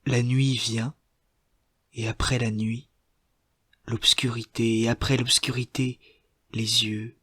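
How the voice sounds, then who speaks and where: A man reciting literary poetry in a solemn, measured manner, setting short phrases apart with deliberate pauses. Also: medium pitch, sharp tone, husky, no room sound. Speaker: poetry reader.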